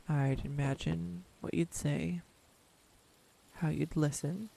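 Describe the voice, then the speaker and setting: A woman's voice: deep voice, creaky, dark tone, slight background noise, exaggerated voice. A young woman acting a tender, nervous childhood friend come home, in an intimate, hesitant audio roleplay monologue. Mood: tender, confiding. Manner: hesitant, reflective, confiding, with pauses. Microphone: close USB desktop microphone.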